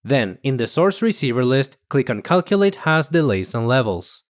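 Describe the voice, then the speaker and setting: A man reading scripted instructional narration in a measured, explanatory manner. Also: mid-range voice, very animated. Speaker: software tutorial narrator.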